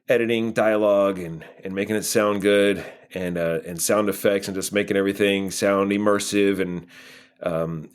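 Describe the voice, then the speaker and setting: Low-voiced, modest man in conversation. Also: smooth. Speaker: podcast guest, playwright and actor. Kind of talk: casual reflective interview talk.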